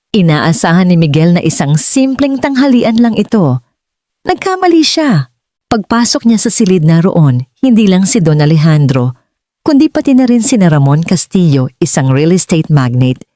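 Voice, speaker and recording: A Filipino woman narrates a dramatic story in a fairly low voice. Her intonation moves expressively and her tone is soft-edged and dark. The sound is dry, as in an audiobook. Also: no room sound.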